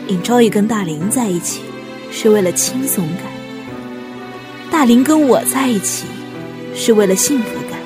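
An actress playing a composed, ambitious young hotel cook in a Mandarin radio drama, measured and coolly cynical. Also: female voice, medium pitch, lightly acted. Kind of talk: Mandarin audio drama acting with narration.

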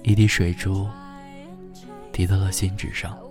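An actor playing a gentle, devoted young boyfriend in a radio drama, speaking softly, tenderly and unhurriedly with a wistful air. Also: male voice, low voice, level tone. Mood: sad, heartbroken. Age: young adult. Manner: soft, tender, unhurried, breathy phrase endings. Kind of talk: intimate first-person radio drama narration. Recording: music behind the voice.

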